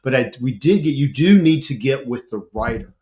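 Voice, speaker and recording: A man voice actor chatting on a panel, telling animated stories with frequent laughs and repeated starts. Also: mid-range voice, normal speed. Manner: animated storyteller, laughs often, repeats himself. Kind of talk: casual panel chat.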